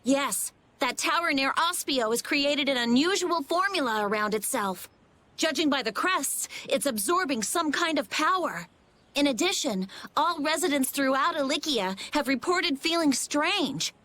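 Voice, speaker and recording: A woman playing a blunt, brisk, excitable young girl scholar in an anime video game dub. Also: high-pitched, exaggerated voice. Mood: urgent, explaining.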